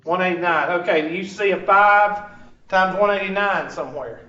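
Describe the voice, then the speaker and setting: A man's voice: sits high, moderate pace, sharp tone. A man teaching a math class, working problems aloud and questioning students in a classroom. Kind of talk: classroom lesson. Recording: echoey classroom, dull far-off sound.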